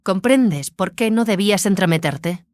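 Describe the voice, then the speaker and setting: A woman plays a proud daughter loyal to her family's company in an audio drama. Her voice sits at a medium female pitch and sounds earnest and insistent. The sound is dry, with no room around it. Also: expressive, measured pace, darkish tone, no echo, natural voice.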